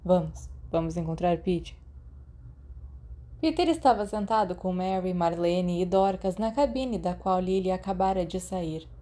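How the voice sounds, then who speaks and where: A woman's voice: lowish voice, lively intonation, normal speed, few pauses, warm tone. A woman with a Brazilian accent reading scripted fiction as an audiobook narrator.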